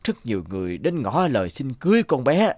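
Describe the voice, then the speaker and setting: Gentle old father, expressive delivery. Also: mid-range voice, brisk, dry recording. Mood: worried, tender. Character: gentle old bamboo cutter, loving adoptive father. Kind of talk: Vietnamese children's cartoon dubbing.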